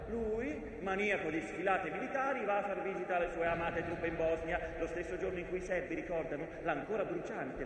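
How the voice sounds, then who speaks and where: An actor playing an ancient mountain that witnesses war, declaiming a theatrical stage monologue in a dramatic, sardonic storytelling manner. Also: male voice, high-pitched, natural voice. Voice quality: projected, theatrical. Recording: echoey theatre stage, distant mic, faint noise.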